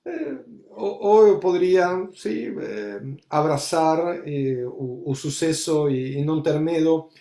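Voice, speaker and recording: A man talks in a hesitant, searching way, full of fillers and restarts. His voice sits a little high for a man. The room around him is echoey. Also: creaky, dark tone. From Argentina. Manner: halting, searching for words, many fillers and restarts. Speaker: rabbi. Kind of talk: conversational discussion, switching between English and Portuguese.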